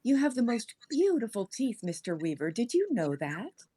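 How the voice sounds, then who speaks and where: A woman voicing a doting, eerily cozy middle-aged landlady in a story, coaxing and gushing with drawn-out words. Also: on the high side, very animated, warm tone. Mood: gushing, creepy.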